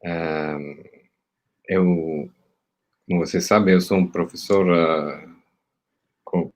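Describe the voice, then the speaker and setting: A man's voice: low voice, fairly even intonation, extremely slow. A middle-aged man from Italy speaking Portuguese with an Italian accent, giving a hesitant academic talk over a video call with many fillers and restarts. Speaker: guest professor.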